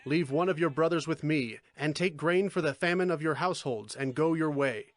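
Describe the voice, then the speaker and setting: Actor as earnest pleading brother, higher-pitched. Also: male voice, moderate pace, no echo, close to an everyday voice. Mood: anxious, reporting. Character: earnest, anxious brother pleading honesty as foreign petitioner. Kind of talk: dramatized scripture audio acting.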